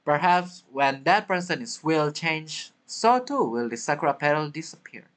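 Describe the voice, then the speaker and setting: A woman streaming a game with casual, halting, joking commentary, talking back to the characters. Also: low voice, lively intonation. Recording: game music behind.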